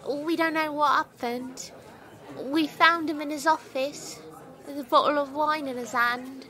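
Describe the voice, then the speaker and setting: A woman's voice: high voice, steady tone, a little slow, pushed and bright. A woman voicing a hesitant hospital worker who stammers and hedges. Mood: nervous.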